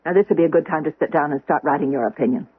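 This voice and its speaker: A woman playing a middle-aged housekeeper, inquisitive and briskly nagging, in an old-time radio drama. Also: low voice, slightly rough. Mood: brisk, scolding. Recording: muffled, dry vintage radio recording.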